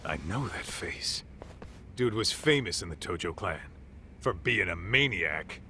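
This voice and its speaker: A man voicing a tough, easygoing ex-gangster sidekick in a video game, gruff, gravelly and casually streetwise. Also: animated, measured pace, bright, forceful tone, slight background noise, full character voice. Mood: wary, casual. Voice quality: low, gravelly. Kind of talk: video game cutscene acting.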